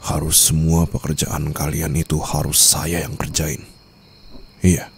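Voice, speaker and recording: Man acting a low, slow-talking mafia boss. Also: monotone, vocal fry, slight background noise, full character voice. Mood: stern, displeased. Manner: unhurried, composed, quietly commanding, formal. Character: cool, controlling young mafia boss, newly married husband. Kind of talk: Indonesian ASMR roleplay acting.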